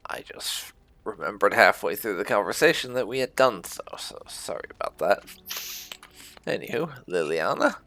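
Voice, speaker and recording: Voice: low voice, sing-song, moderate pace, creaky, a bit bright. A video game streamer giving casual, rambling let's-play commentary with wry asides.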